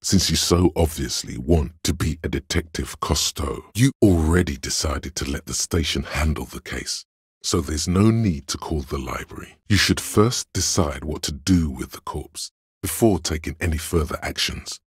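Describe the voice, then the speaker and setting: A man voicing the cold inner voice of logic in a detective's mind for a video game, measured and analytical, with a gravelly, husky delivery. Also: very deep voice, expressive, dry recording, studio quality, exaggerated voice. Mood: dry, reasoning.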